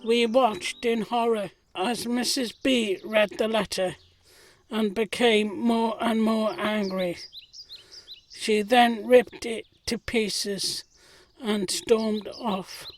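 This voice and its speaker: Slow-speaking, earnest servant woman, acted. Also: a little high, fairly even intonation. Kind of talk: audio drama acting.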